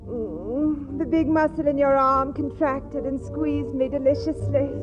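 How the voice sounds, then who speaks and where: A man-hungry, gushing socialite in a 1940s radio comedy. Her high voice is coy and purring. The dull old recording has music playing behind her. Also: steady tone, measured pace. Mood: coy, infatuated. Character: flirtatious, gushing, man-hungry society woman.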